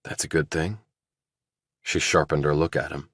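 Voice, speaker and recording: A man narrating a thriller audiobook, reading scripted prose and character dialogue in a deep voice. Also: fairly even intonation, normal speed, a bit bright, slightly rough, dry recording, studio quality.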